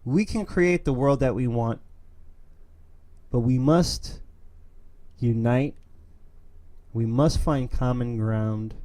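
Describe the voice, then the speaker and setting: A young man from New York City hosts a streamed opinion show on his own. His voice is dark and mellow, and his pitch sits in the middle for a man. He talks in an earnest, emphatic way, full of fillers and restarts. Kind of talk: casual monologue, reading memes.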